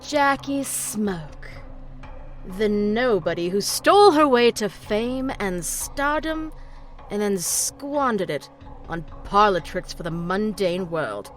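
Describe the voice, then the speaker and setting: A woman's voice: medium pitch, expressive, slow pace, soft background music. A woman playing a vain, gloating abyssal demon in an audio drama, pompous and theatrical. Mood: gloating, grandiose.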